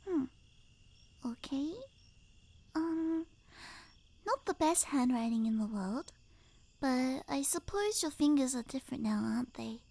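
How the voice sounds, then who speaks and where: An obsessive, lovestruck necromancer girlfriend acted by a woman for an ASMR roleplay. Her voice is high and delicate, with creaky edges that slip into hushed half-whispers. She speaks slowly and clingily, cooing with sweet, unhinged devotion. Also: lively intonation, full character voice. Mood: playful, coaxing. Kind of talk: ASMR roleplay, intimate soft-spoken acting with whispering.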